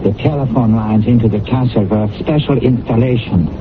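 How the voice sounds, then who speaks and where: A man playing a formal, enigmatic gentleman with deliberate phrasing in a 1970s radio drama, on an old broadcast recording. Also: medium pitch, narrow, tinny audio, noisy background, lightly acted. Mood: cool, knowing. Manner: formal, deliberate wording without contractions. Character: formal, enigmatic man haunting a castle intrigue.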